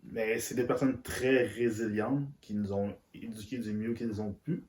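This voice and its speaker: A man's voice: on the low side, fairly even intonation, a little slow, a little mellow. A man, a guest talking candidly and earnestly, often stumbling and repeating words. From Central Africa. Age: 30s. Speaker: interview guest. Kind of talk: candid conversation. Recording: roomy echo.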